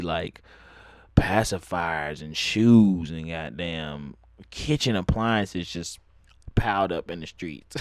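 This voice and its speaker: A man's voice: fairly low pitch, dry recording, clean studio sound. A young man from Atlanta with a Southern accent, telling a loose, profane story in casual talk and laughing often. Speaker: vlogger.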